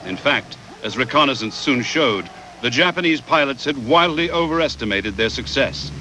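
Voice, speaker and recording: A man reading scripted historical documentary narration in a measured, formal way with deliberate pauses, on a VHS transfer. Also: medium pitch, expressive, pushed and bright, a little hiss or hum, rough recording.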